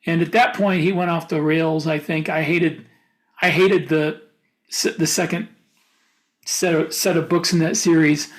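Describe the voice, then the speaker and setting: A man with a notably high voice talks casually as a podcast co-host. He gives firm opinions on what he has read. His phrases often halt and restart as he works out the thought. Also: fairly even intonation. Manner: opinionated, halting mid-thought. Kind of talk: casual book discussion.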